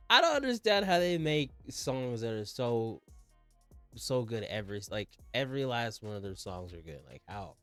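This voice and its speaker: A man's voice: medium pitch, very animated, unhurried, pauses often, a bit bright, no room sound. A man hosting a music reaction video in casual, slangy commentary, enthusiastic and often repeating himself.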